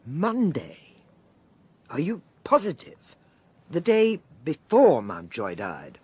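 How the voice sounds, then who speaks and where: An actress playing a shrewd, commanding older lady detective with an English accent and a husky voice, in a period radio drama. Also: low voice, huge pitch swings, a little mellow, no room sound, full character voice. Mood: probing, decisive. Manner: probing, brisk, authoritative.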